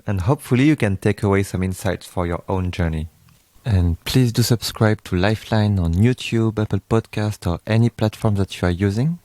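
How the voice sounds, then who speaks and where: A man with a fairly low, mellow voice hosts an interview podcast. He talks eagerly and chattily, laughing easily between thoughts. The sound is close and dry, with no room around it. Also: dry recording. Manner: enthusiastic, chatty, laughs often. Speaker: podcast host. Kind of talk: casual interview, scripted intro.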